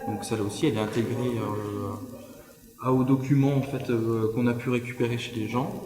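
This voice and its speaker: A man with a mid-pitched voice talks informally and a little hesitantly as he explains a setup. The room is large and echoey, with a long reverb tail. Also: steady tone, fairly quick. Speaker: exhibition organizer. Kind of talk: casual unscripted explanation. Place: large reverberant indoor space.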